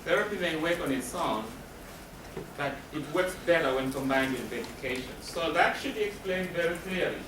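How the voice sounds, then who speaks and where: Guy giving a talk, kinda high voice, explains stuff emphatically, far from the mic w/ noise behind. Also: lively intonation, normal speed. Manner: explanatory, asks and answers own questions. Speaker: counselor giving a presentation. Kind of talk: spoken presentation to a live audience. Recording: roomy, distant mic with noticeable background noise.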